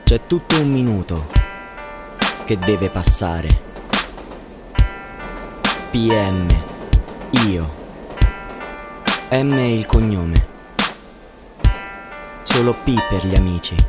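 Slow-spoken musing young student. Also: male voice, low voice, expressive, full character voice. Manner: halting, terse, poetic phrasing. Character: young male student narrator, musing and fragmented. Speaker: radio drama actor. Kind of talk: dramatic radio monologue. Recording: loud music over the voice. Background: loud instrumental music.

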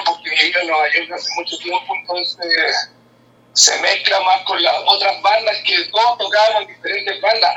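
A man from Chile, a band member answering interview questions in a relaxed, hesitant way with drawn-out pauses. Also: high voice, echoey room, poor audio.

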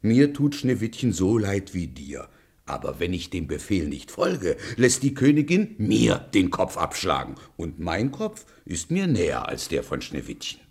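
An actor playing a reluctant, obedient royal huntsman, soft-hearted and fearful, halting and solemn in a radio play. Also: low voice, huge pitch swings, breathy edge, heavily acted. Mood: resigned. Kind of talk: German radio play, theatrical.

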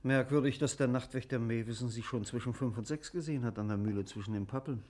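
An actor playing a composed legal official in a radio play, questioning in a formal, measured, inquisitive manner. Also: male voice, medium pitch, a little mellow, close to an everyday voice. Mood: probing. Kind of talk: German radio play acting.

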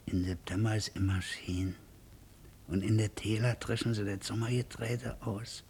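Old radio play, faint rumble, actor as a wandering tramp guy, lowish hushed voice, wistful and consoling. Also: level tone, moderate pace, close to an everyday voice. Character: hardy, contented male tramp on the road. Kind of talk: 1950s German radio drama acting.

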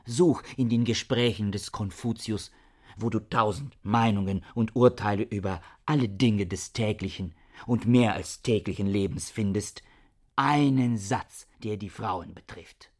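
An actor in a radio play playing a cold, obsessive, misogynist scholar who talks in a pedantic, declamatory way. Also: male voice, on the low side, a little slow. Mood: cold, lecturing. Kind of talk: radio drama acting, literary dialogue.

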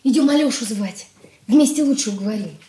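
Woman playing eager young daughter, high voice, rapid speech, impulsive, noisy radio play recording. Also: expressive, dark tone, natural voice. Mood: coaxing. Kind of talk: Soviet radio play acting.

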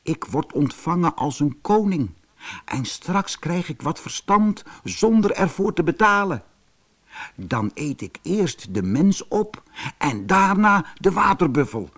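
A man's voice: on the high side, sing-song, takes it slowly, a bit bright, pitched above the performer's own voice, exaggerated voice. Een man die een sluwe, hongerige tijger speelt in een sprookje, vleierig, zelfingenomen en snel ongeduldig. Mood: gloating. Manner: wheedling, self-satisfied, impatient when crossed. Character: sly, vain, hungry male tiger, falsely polite. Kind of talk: storybook character voice.

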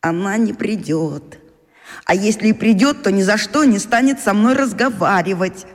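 Man as a high-voiced despairing boy, echoing. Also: moderate pace, close to an everyday voice. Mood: dejected, anxious. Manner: agitated inner monologue. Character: lovesick, despairing young boy. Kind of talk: children's radio play acting. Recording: long reverb, as inner thoughts.

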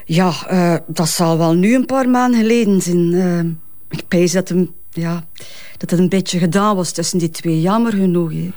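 A woman's voice: lowish voice, normal speed, darkish tone, close to an everyday voice. An actress playing a grieving, protective mother in a Flemish crime drama, speaking hesitant, colloquial West Flemish with repeated words. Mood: wistful, fond.

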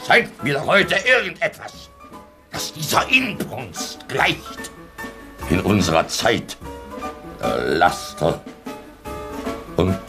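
A man's voice: medium pitch, huge pitch swings, gravelly, music in the background, full character voice. An actor playing a drunken, fervent Russian man who rants and preaches theatrically in a German radio drama. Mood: impassioned lament. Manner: theatrical, halting, oratorical, breaking into chuckles.

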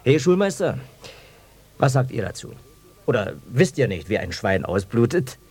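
An actor playing a loud, hot-headed village brigade leader in a German radio drama, accusatory and emphatic. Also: male voice, medium pitch, very animated, moderate pace, breathy edge. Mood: defiant, outraged.

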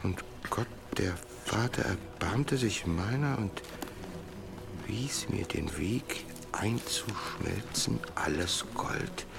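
Very slow, gravelly solemn reader. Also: male voice, deep voice, animated, exaggerated voice. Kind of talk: German radio play acting.

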